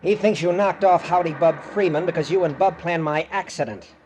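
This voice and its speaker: An actor playing a hot-headed, bullying young tough with a clipped, sneering delivery in a radio crime drama. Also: male voice, on the high side, brisk, darkish tone, natural voice. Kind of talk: old-time radio crime drama acting. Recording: noisy old radio broadcast recording.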